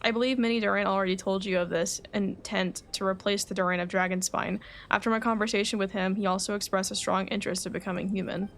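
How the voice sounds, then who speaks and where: A cerebral, composed alchemist as read aloud by a woman streamer, speaking in a formal, scholarly, measured way at medium pitch. Faint noise sits behind the game dialogue. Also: fairly even intonation, fairly bright tone, natural voice. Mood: calm, reflective.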